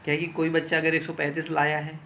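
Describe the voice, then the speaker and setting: Higher-pitched man explaining informally. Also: monotone, quick pace, bright, forceful tone, slight background noise. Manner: instructive, repeatedly checking in with listeners. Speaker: exam coaching teacher advising candidates. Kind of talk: informal explanatory talk on exam cut-offs.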